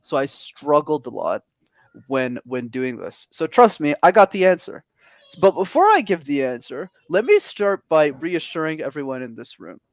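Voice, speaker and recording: A male speaking coach giving advice in an online session, his fairly high voice rising and falling with a lot of animation. He talks with energetic emphasis, and the sound is dry and close, with no room in it. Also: normal speed, no room sound. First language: not English. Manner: energetic, emphatic, lively pitch swings. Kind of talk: webinar advice talk.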